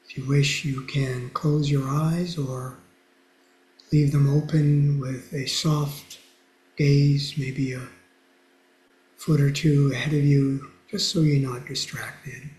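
A soft-spoken man with a low, quiet voice, teaching mindfulness and guiding a meditation gently, with pauses and fillers over a video call. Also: flat delivery, roomy sound, low-quality recording. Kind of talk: lecture and guided meditation.

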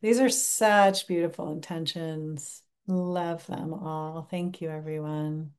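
Gentle, low-voiced woman teacher. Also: fairly even intonation, clean tone. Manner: gentle, unhurried, pausing often. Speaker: mindfulness teacher. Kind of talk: guided reflection and online class wrap-up. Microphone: video call.

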